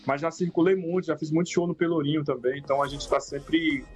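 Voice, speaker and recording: A man from Salvador speaking, calm and reflective as he answers an interviewer. His voice sits a touch high for a man. Faint music plays underneath. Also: quick pace. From Salvador, Brazil. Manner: reflective, frequent "né?" tags. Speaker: rapper guest.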